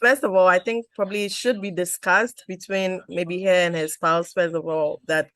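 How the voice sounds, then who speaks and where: A woman's voice: lowish voice, fairly even intonation, moderate pace, fairly bright tone, dry recording. A woman panelist in a casual discussion, hesitant with fillers and restarts.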